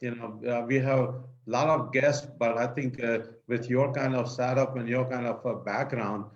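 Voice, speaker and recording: A man's voice: medium pitch, fairly even intonation, normal speed. A man hosting an interview who speaks hesitantly, using many fillers. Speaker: host. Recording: dull, muffled video-call audio.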